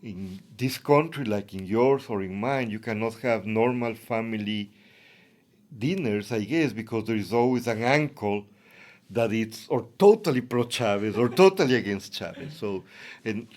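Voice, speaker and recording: A man's voice: fairly low pitch, normal speed, darkish tone. A man from Ecuador, a native Spanish speaker with an Ecuadorian accent, giving reflective interview answers as an academic guest.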